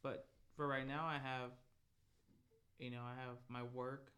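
A man's voice: medium pitch, level tone, a little slow, pushed and bright. A man, co-hosting a podcast in a laid-back, slangy, rambling chat. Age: 20s. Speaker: podcast co-host. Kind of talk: casual chat.

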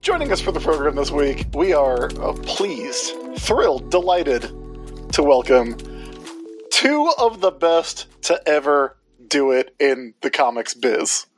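A man's voice: on the high side, sing-song, slow, music in the background. A man in his forties co-hosting a podcast, joking and self-deprecating in casual chat. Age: 40s. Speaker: podcast co-host.

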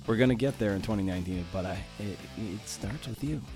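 Lowish-voiced man hosting a podcast. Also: brisk, over music. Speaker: podcast host, interviewer.

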